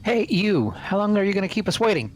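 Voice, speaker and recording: A man's voice: high-pitched, very animated, brisk, poor audio. A man voicing a strict, irritated teenage boy who scolds bossily in an amateur visual novel dub.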